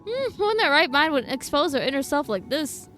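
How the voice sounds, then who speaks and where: A woman streamer with a high, bright voice chats informally over faint game music. She reacts to the game with sassy, teasing remarks. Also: sing-song, fairly quick. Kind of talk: casual live stream chat, reacting to a game.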